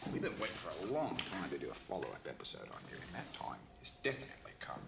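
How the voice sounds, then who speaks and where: A man's voice: low voice, huge pitch swings, quick pace, vocal fry, reverberant, over loud music, heavily acted. An actor playing a sensationalist male TV journalist in a film satire, with an emphatic, profane, salesman-like patter. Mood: ranting. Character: sensationalist, hustling male TV journalist buttering up a killer.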